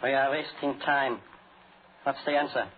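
An actor playing an exasperated radio actor who rehearses as a suave villain, in 1940s radio drama style. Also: male voice, mid-range voice, little pitch movement, moderate pace, slightly rough, lightly acted. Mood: suave, menacing. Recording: muffled, noisy 1940s radio recording.

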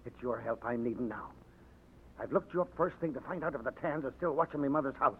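An actor playing Frankie, a bold young Irish rebel on the run, with an Irish accent and a jaunty, brash way of talking, in a 1940s radio drama. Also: male voice, mid-range voice, fast talker, breathy edge, close to an everyday voice. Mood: confident. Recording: tinny narrow old radio broadcast.

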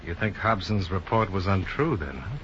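A cool blunt private investigator, 50s radio show, dull sound with some hiss. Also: male voice, low voice, lively intonation, normal speed, a bit bright. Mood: businesslike. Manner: blunt, dry, clipped. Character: blunt, cool-headed male insurance investigator and narrator. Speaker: lead actor. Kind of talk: 1950s radio detective drama acting. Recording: dull, noisy 1950s radio recording.

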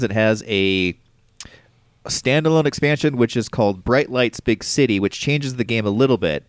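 A man's voice: on the low side, clear, resonant tone, dry recording, studio quality. Stuffy, nasal man co-hosting a podcast. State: congested with a cold. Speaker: podcast co-host. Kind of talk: casual board game chat.

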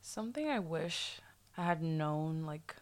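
A woman's voice: deep voice, lively intonation, unhurried. Young woman guest from Haiti, loose chatty talk peppered with "like", easy laughs. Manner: fills speech with "like", laughs often. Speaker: podcast guest. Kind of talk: casual chat.

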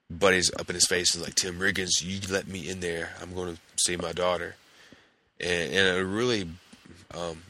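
Man, hoarse from a cold, gravelly, very low flat voice, hesitant casual recap. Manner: hesitant, many fillers and restarts. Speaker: podcast host.

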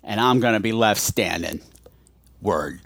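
A man's voice: lowish voice, expressive, unhurried, vocal fry, fairly bright tone, no room sound, clean studio sound. A man hosting a podcast solo in a casual, confessional way, rambling and self-deprecating, repeating himself for emphasis. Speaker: podcast host.

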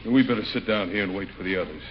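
An actor playing a gruff but decent middle-aged father and neighbor in 1940s radio comedy, brisk and firm. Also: low-pitched, natural voice. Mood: calm, firm. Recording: muffled, hissy 1940s radio recording.

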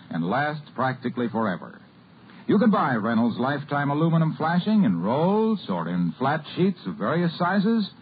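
A man with an American accent announcing and reading a scripted commercial in polished, emphatic 1950s radio salesman style. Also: fairly high pitch. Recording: tinny, noisy vintage radio broadcast.

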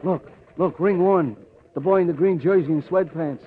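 Man playing a high-voiced, pushy New fight manager. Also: normal speed, narrow, tinny audio, lightly acted. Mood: boastful, jovial. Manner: Runyonesque phrasing, boastful, pushy. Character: wheedling, bossy, fast-talking boxing manager, a man. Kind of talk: 1950s radio drama acting. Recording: thin, noisy old radio recording.

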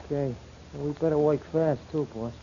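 An actor playing a nervous, dim but loyal small-time crook in 1940s radio comedy style, stammering and talking ungrammatically, on an old radio recording. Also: male voice, fairly high pitch, fairly even intonation, brisk, warm tone, highs cut off, a little hiss or hum, close to an everyday voice. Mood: anxious. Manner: stammering, streetwise ungrammatical talk. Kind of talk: 1940s radio comic acting.

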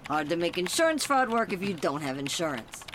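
A woman playing a skeptical, brisk police detective in a scripted noir audio drama, deadpan and teasing. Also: fairly low pitch, slight background noise. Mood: matter-of-fact. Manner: deadpan, matter-of-fact, teasing.

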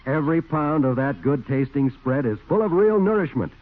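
A man's voice: a little high, lively intonation, moderate pace. Male radio announcer, tinny vintage sound. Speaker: commercial announcer. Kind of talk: 1940s radio sponsor pitch. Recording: tinny 1940s radio recording.

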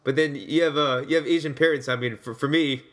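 A man's voice: a little high, steady tone, brisk, a bit bright. A man hosting a sports podcast, talking in a casual, upbeat, conversational way. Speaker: podcast host and interviewer.

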